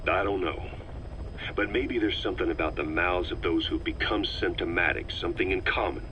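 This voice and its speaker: An actor playing a shrewd, level-headed veteran intelligence officer in a video game, talking in a measured, analytical way. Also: male voice, sits low, sharp tone. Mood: firm, calming. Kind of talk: dramatic video game voice acting. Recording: muffled, slightly noisy cassette tape.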